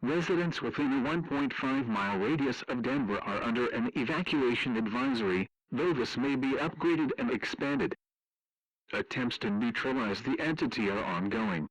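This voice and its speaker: A man's voice: mid-range voice, expressive, moderate pace, no echo, low-quality recording. A man reading a scripted emergency broadcast announcement.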